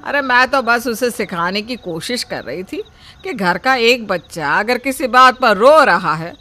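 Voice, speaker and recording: A woman's voice: fairly high pitch, lively intonation, measured pace, sharp tone, faint music behind. A woman voicing a bossy old grandmother who scolds and favors her grandson. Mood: scolding. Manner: nagging, scolding.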